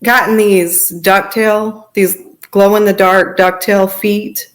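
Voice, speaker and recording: A woman guest telling her personal story informally in an interview, in halting, pieced-together phrases. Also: medium pitch, little pitch movement. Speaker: podcast guest sharing personal testimony.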